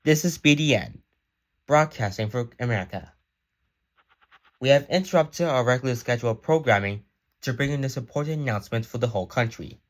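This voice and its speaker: Man, medium pitch, emergency announcer, scripted news bulletin, formal, solemn delivery. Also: lively intonation, brisk.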